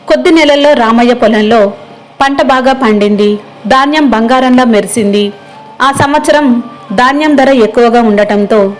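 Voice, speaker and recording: A woman's voice: on the high side, poor audio. A woman storyteller reading a scripted moral tale as narrator.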